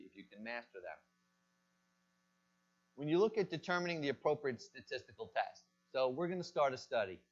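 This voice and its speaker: Man lecturing on a dull lo-fi recording. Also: medium pitch. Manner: asks the class questions and answers them himself. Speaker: pharmacy college lecturer. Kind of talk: classroom lecture.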